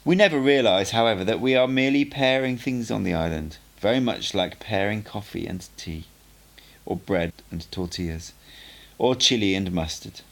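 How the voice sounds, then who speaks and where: A man's voice: lowish voice, normal speed, gravelly. A man voicing a playful old sorcerer who teaches with patient, lecturing explanations. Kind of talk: audiobook character dialogue.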